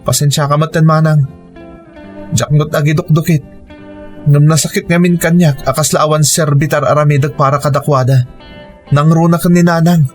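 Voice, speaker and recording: A man's voice: a little high, steady tone, moderate pace, soft background music, lightly acted. A man with a Filipino accent playing a worried, dutiful adult son in an earnest, emotional radio drama style. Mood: apologetic, earnest. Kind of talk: Ilocano radio drama acting.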